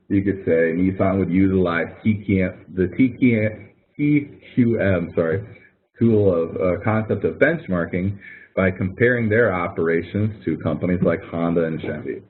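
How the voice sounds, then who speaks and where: A male instructor giving a webinar lecture in a conversational way, walking through examples and correcting himself as he goes. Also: low voice. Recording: tinny phone-like webinar audio.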